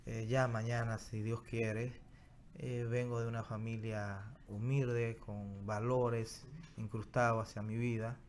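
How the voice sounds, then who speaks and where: Guest spot: man, 30s, halting and filler-heavy, mid-pitched, faint hum behind. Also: level tone, darkish tone. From Dominican Republic. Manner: hesitant, many fillers and restarts. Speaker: guest journalist. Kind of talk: casual interview chat. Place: small TV studio with backdrop. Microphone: studio mic on stand.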